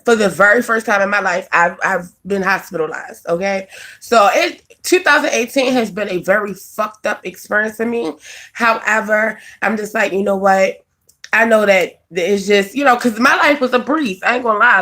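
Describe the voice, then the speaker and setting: Bright-voiced woman chatting with viewers. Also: mid-range voice. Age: 20s. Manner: chatty, laughs often, answers viewers by name. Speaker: vlogger reading live chat. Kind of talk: casual live-stream chat.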